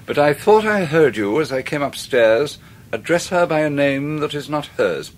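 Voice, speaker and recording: A man's voice: a little high, expressive, natural voice. Man acting a cold, stern English stepfather. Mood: quiet menace. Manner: clipped, stern, deliberately polite. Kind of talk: radio drama acting.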